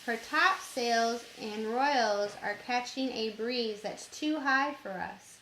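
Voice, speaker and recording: A woman voicing a calm, matter-of-fact male ship's navigator in a storybook reading, in an echoing room. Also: on the high side, slow, a little hiss or hum. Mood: weary.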